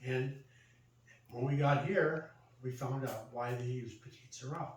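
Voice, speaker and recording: An older American man telling a personal story casually, in a halting, reminiscing way. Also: mid-range voice. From Central Valley, California, United States. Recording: roomy echo, distant mic, faint noise.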